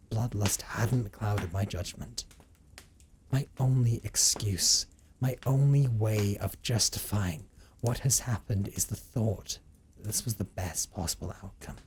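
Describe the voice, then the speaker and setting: Man playing a low, slow-speaking brooding male vampire. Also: background noise. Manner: formal, theatrical, deliberate phrasing with condescending endearments. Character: brooding, teasing, possessive male vampire living as a forest recluse. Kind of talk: dramatic first-person audio roleplay monologue.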